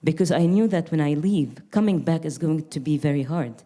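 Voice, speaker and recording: Young woman from gaza talking on a panel, low mellow voice, thoughtful, restarts a lot. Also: expressive, fairly quick. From Gaza Strip. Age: young adult. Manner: reflective, earnest, with frequent restarts. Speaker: artist. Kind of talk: panel conversation.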